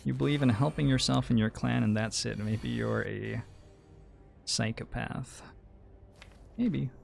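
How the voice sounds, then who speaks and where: A man's voice: mid-range voice, fairly even intonation, measured pace, creaky, soft background music. A man from New Zealand, a game streamer, chatting with viewers in a thoughtful, hesitant, musing way. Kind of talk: casual livestream chat.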